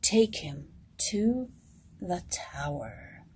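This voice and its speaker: A woman voicing an exasperated, commanding king in a children's storybook, barking orders and growling out drawn-out words. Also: on the low side, sing-song, a little hiss or hum, voice pushed down, full character voice. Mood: angry, commanding.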